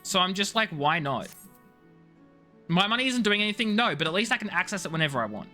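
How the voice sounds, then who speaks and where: A man's voice: high-pitched, big pitch swings, quick pace, pushed and bright, faint music behind. A man chatting casually to viewers as a video game streamer, playful and teasing, rambling from one thought to the next.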